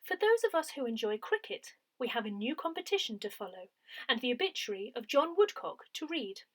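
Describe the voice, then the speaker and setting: A woman reading a scripted parish newsletter aloud in a deliberate, clearly phrased announcing manner. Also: a little high, very animated, fairly bright tone. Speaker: newsletter reader.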